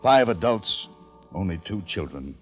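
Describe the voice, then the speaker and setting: Low male voice, weary grieving survivor, solemn dramatic narration, old radio drama, music behind. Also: sing-song, unhurried, muffled recording. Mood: grim, solemn. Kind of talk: old-time radio acting with first-person narration.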